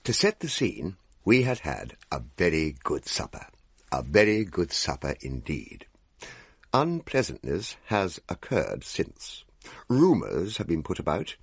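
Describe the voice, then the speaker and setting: Pompous young English gentleman, wildly expressive. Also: low voice, breathy edge, dry recording, studio quality, full character voice. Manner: pompous, theatrical storytelling. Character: pompous, aggrieved young Edwardian gentleman narrator, big eater. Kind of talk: radio drama storytelling.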